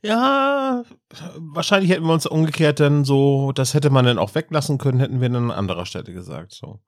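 A man's voice: medium pitch, lively intonation, a little fast, dry recording, clean studio sound. A man co-hosting a podcast in casual, conversational discussion. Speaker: podcast co-host.

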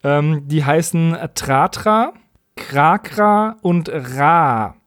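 A man talks casually as a podcast co-host. His voice is somewhat high for a man, his phrasing wry and colloquial, and the sound is tight and dry with no noticeable room. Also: expressive, drawn-out words, a bit bright, dry recording. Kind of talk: casual chat, recapping an audio drama.

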